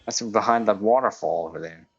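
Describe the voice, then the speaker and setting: A man's voice: lowish voice, fairly quick, slightly rough, dry recording. A man casually commenting as he plays a game on a livestream. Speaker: game streamer. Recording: slightly dull, band-limited sound.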